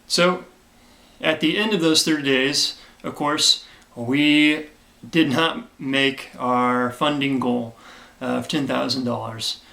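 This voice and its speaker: A man who created a comic gives an earnest, grateful update to his backers, hoarse from a cold and filling his talk with "uh". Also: medium pitch, fairly even intonation, slow pace, pushed and bright, reverberant. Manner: earnest and grateful, many fillers. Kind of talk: casual direct-to-camera talk.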